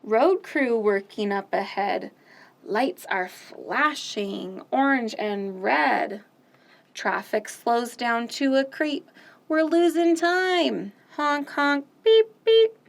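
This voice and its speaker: Bright-voiced woman doing kids' storytime. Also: a little high, slow pace, creaky. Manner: animated, sing-song, with loud playful sound effects. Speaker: children's storytime host. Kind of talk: reading picture books aloud and singing to young children.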